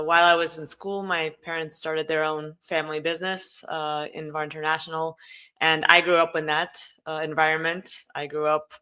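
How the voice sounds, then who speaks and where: Middle-aged Russian-accented woman guest, bright and crisp voice, hesitant with many fillers. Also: low voice. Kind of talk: casual interview chat.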